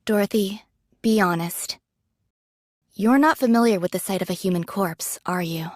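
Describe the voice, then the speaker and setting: Woman doing young android soldier girl, casual n thoughtful, modest, anime game, mid pitch, dry studio sound. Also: normal speed, no echo, close to an everyday voice. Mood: gentle, earnest. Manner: casual, thoughtful, matter-of-fact. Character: pragmatic, modest young female android soldier, quietly caring.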